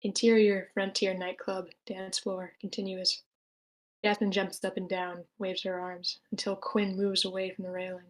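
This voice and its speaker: A woman's voice: medium pitch, little pitch movement, moderate pace, rough recording. Woman evenly reading screenplay directions. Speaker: stage-direction reader. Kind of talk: scripted narration, screenplay table read.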